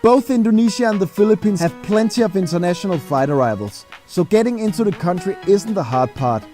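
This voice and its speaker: A man narrates a scripted voice-over in a high, mellow voice. He moves through each point in a measured, list-like way and leans on key words by drawing them out. Faint music plays beneath him. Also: lively intonation. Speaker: video narrator.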